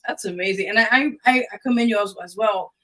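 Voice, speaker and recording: A woman with a bright, forward voice hosts a radio interview. She talks casually and affirms what she hears in a conversational way. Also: mid-range voice, fairly quick, poor audio. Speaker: radio show host.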